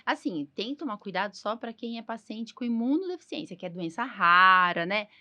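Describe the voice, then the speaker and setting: A woman's voice: medium pitch, lively intonation, fairly quick, sharp tone. Brazilian woman hosting a casual podcast interview. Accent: Brazilian Portuguese. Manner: informal, chatty, frequent tag questions. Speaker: podcast host, allergist doctor.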